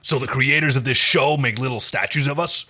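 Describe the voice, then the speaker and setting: A man's voice: mid-range voice, fairly quick, pushed and bright. Man putting on a growling alien warrior. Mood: suspicious. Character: gruff, hot-tempered alien warrior man.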